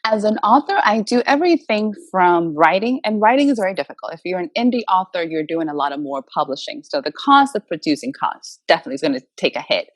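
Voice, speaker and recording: Enthusiastic, lower-pitched woman from the Dominican Republic. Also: sing-song, quick pace, hardly any pauses, no echo. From Dominican Republic, moved to Massachusetts as a child. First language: Spanish. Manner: enthusiastic, emphatic, motivational. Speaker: guest, author and motivational speaker. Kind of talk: conversational interview answers.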